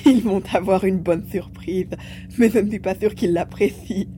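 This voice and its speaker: A woman's voice: medium pitch, normal speed, warm tone, lightly acted. A woman voicing a cheerful, mischievous male mutant mouse-beaver with a playful manner in an audio drama. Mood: amused. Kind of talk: acted audiobook dialogue. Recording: faint background noise and hum.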